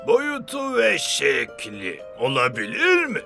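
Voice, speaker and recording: An actor playing an enthusiastic, declaiming elderly grandfather and dinosaur expert in a cartoon dub, with a creaky voice. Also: fairly high pitch, huge pitch swings, slow pace, over loud music, full character voice. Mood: astonished.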